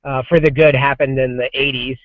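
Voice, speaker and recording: A man's voice: mid-range voice, level tone, brisk, no room sound. A man in his forties, a guest on a casual podcast, talking loosely with many fillers and restarts. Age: 40s. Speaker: comics creative director, guest. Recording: dry, dull lo-fi sound.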